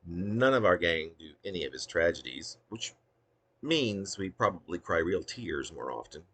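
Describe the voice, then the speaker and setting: A man's voice: low voice, sing-song, moderate pace, a bit bright, slightly rough. A man giving a dramatic, wry reading of a humorous autobiographical poem, with theatrical emphasis. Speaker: poet reading own work.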